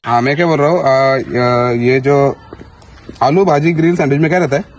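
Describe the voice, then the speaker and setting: A man's voice: medium pitch, moderate pace, a little hiss or hum. A young man with a Maharashtrian accent making prank calls in a casual, conversational style. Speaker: prank caller in a vlog. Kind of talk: casual phone chat.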